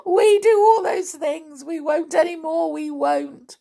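A woman's voice: very high voice, slow, vocal fry, dark tone, pitched above the performer's own voice, full character voice. A woman voicing a guilty, frightened young schoolboy from a storybook, crying as he pleads. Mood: sobbing, guilty.

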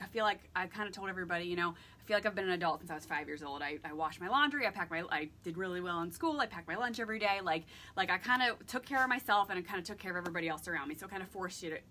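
A woman's voice: on the low side, bright, forceful tone, a little hiss or hum. A woman in her thirties, a guest in a casual interview, telling her story earnestly. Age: 30s.

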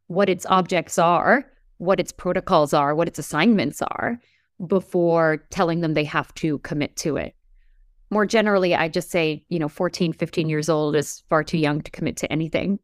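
Articulate, measured woman professor. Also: on the low side, no room sound, clean studio sound. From United States. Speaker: English professor. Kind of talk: reflective interview talk.

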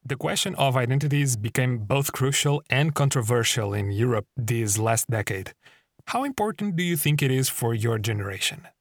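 Brief: male podcast host, mid pitch, measured and formal, dry close mic. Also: lively intonation, no room sound. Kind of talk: scripted podcast hosting.

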